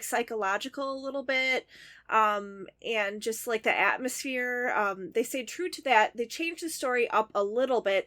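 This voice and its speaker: Woman, podcast co-host, slightly high voice, excitable, gushing fan chat. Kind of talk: casual chat.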